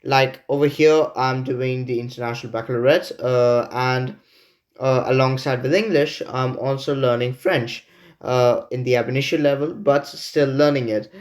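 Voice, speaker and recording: A teenage boy from India hosts a student podcast at an ordinary pitch. He talks in a measured, careful way and often stops for fillers and restarts. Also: fairly even intonation. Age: teens. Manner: measured, with many hesitations. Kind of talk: casual discussion.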